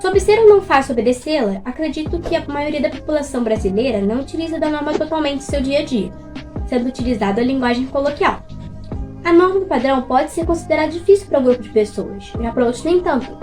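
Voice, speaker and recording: A woman's voice: high voice, animated, fast talker, darkish tone, soft background music. A Brazilian teenage girl reading a formal prepared answer fluently for a school project. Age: teens. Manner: fluent, formal read-aloud delivery. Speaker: student.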